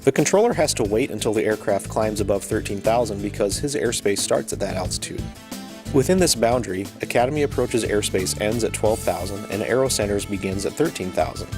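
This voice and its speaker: Low-voiced man narrating an instructional video. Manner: measured, explanatory. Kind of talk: scripted educational narration.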